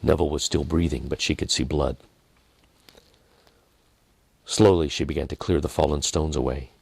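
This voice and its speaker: A man reading a dramatic story in a measured manner, with deliberate pauses and softened phrases. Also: rumbling low, fairly even intonation, creaky, breathy edge, no echo. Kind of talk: dramatic scripted narration.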